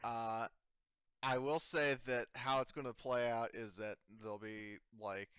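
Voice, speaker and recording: A man's voice: mid-range voice, steady tone, measured pace, fairly bright tone, clean tone, no echo, studio quality. A man acting as game master, talking casually and thinking aloud through the rules, with some swearing. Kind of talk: casual tabletop roleplaying game talk.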